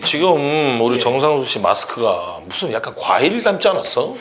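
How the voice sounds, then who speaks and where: A man's voice: medium pitch, sing-song, moderate pace, roomy sound, music in the background. A male talk show host teasing his guest with casual wisecracks. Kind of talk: teasing comic chat.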